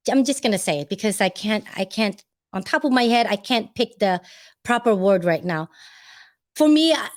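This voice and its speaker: A woman with a Filipino accent chats with her viewers in a loose, unscripted way, with a voice sitting in a middle pitch. She rambles, restarts her sentences and fills gaps with ums while hunting for words. She laughs easily. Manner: rambling, searching for words, many fillers. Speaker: vlogger giving advice. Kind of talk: casual talk to camera.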